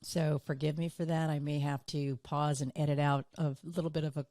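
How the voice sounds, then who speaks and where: A woman hosting her own business podcast, talking earnestly on her own, a little hoarse and tired from illness. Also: low voice, flat delivery, fairly quick, warm tone, dry recording, clean studio sound. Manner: earnest, repeats for emphasis. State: hoarse, recovering from illness, fatigued. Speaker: podcast host. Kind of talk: solo business podcast monologue.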